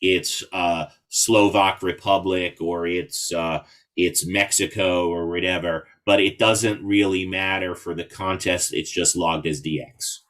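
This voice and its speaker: A man's voice: low-pitched, steady tone, a little slow, fairly bright tone. A man giving an informal instructional presentation to a club as an invited speaker, explaining things step by step. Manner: explanatory, spells out codes in phonetic alphabet.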